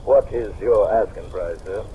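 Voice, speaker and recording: An actor playing a pompous, scheming Southern colonel with florid manners and an American Southern accent in 1940s radio drama style. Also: male voice, on the low side, normal speed, narrow, tinny audio, natural voice. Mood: sly. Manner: florid, pompous, formal politeness. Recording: vintage radio recording, crackling background noise. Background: crackling fire ambience.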